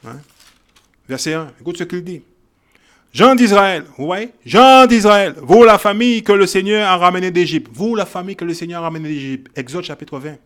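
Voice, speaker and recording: A man with a high-pitched voice preaches from the Bible, reading verses quietly and then driving his points home loudly. He repeats phrases for emphasis and keeps asking his listeners "ok?" and "hein?". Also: normal speed. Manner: shifts from quiet verse reading to loud exhortation. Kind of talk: Bible teaching sermon.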